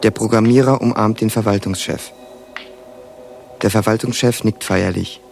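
An actor as a detached narrator, reading stage directions tersely and matter-of-factly in a radio play. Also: male voice, fairly low pitch, steady tone, normal speed, creaky, darkish tone, soft background music. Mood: detached, factual. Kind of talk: scripted narration.

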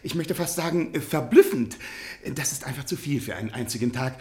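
A man's voice: mid-range voice, animated, a little fast, a little mellow, close to an everyday voice. An actor playing a hesitant, modest male university lecturer in a radio drama, stammering politely and easily dazzled. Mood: flustered, hesitant. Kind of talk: theatrical German radio drama acting. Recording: echoey studio radio play.

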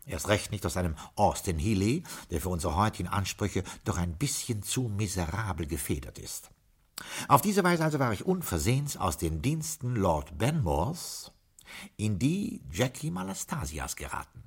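A man's voice: low-pitched, very animated, normal speed, husky, exaggerated voice. An actor playing a formal, dryly ironic butler in his late forties in a 1950s radio drama. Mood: wry narration. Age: 40s.

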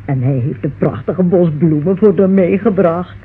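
A woman's voice: lowish voice, moderate pace, breathy edge. An actress playing a chatty, protective, fussing woman in a radio drama. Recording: tinny, noisy old radio recording.

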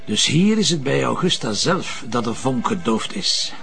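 A man's voice: medium pitch, sing-song, measured pace, creaky, fairly bright tone, exaggerated voice. An actor playing a world-weary, blunt male detective in a TV crime drama, wry and colloquial. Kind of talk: naturalistic TV crime drama acting.

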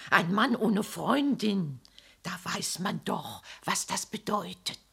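A woman's voice: mid-range voice, animated, takes it slowly, creaky, sharp tone, slightly rough, exaggerated voice. An actress playing a nosy, self-righteous neighbor woman in a radio play, indignant and theatrical. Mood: gossiping.

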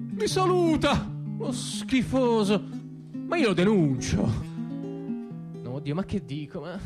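A man performing a dramatic comic stage monologue as an anxious, conflicted dreamer, halting and repeating himself in agitation. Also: high voice. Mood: mounting panic. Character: anxious, conflicted dreamer, a man torn between conscience and panic.

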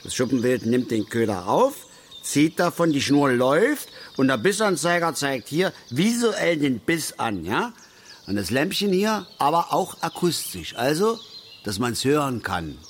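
A man's voice: mid-range voice, big pitch swings, unhurried. An actor playing a grumbling, opinionated middle-aged angler and father in a radio drama, lecturing and blustering with questioning tags. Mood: instructive. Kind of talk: naturalistic radio drama dialogue. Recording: faint outdoor background noise.

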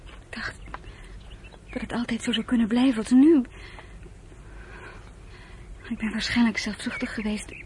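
An actress playing a sensitive young girl, devoted and hesitant, speaking haltingly in a radio drama. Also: on the high side, fairly even intonation, close to an everyday voice. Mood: hurt, hesitant. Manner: hesitant, soft-hearted, halting. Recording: noisy old radio play recording.

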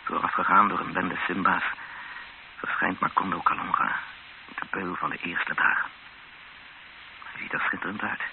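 A tense, sober male captive speaks low and earnestly, as the witness at the centre of a radio play. The sound is thin and tinny, like an old broadcast, with clear noise. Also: fairly even intonation, normal speed, pauses often. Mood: sober, tense recounting. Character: tense captive Dutchman, a witness recounting his ordeal. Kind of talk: first-person radio drama narration and dialogue.

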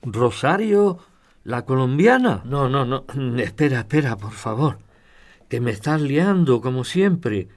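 A man playing a stubborn, soft-hearted older pensioner in a radio soap, grumbling and protesting, with an accent from Spain. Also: mid-range voice, big pitch swings, a little slow. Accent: Spanish from Spain.

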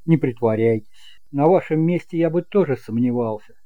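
A man playing a nervous suspected doctor with a forced, resigned calm in an amateur audio drama. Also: medium pitch, moderate pace, no echo, phone-quality sound, close to an everyday voice. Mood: bitter resignation.

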